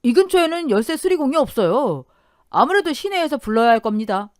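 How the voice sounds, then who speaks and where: A woman's voice: high voice, big pitch swings, a little fast, no echo, clean studio sound, voice pushed up. A woman voicing a real estate broker who hesitates and talks evasively.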